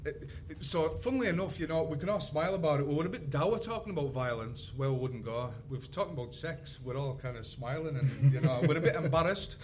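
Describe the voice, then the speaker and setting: A man's voice: a little high, animated, fairly quick, hardly any pauses. Guy hosting a writers panel, jokey and informal, bit of room noise. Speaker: panel moderator and crime writer. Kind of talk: casual panel discussion.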